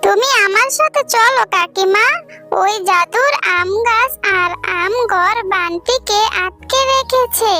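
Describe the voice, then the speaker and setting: A woman's voice: very high voice, slow pace, music in the background. A woman voicing a kind, earnest young girl animal who pleads, in a children's cartoon style. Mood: earnest pleading. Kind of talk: Bengali children's cartoon voice acting.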